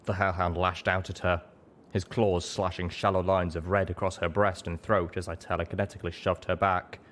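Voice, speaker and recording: Low-pitched male story narrator. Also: flat delivery, moderate pace, a bit bright. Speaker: audiobook narrator. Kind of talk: dramatic scripted story narration.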